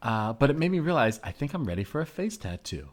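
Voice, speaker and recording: A man's voice: mid-range voice, big pitch swings, fast talker. A man playing an eager, earnest, rambling female tattoo customer in an improvised comedy sketch. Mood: earnest, chatty.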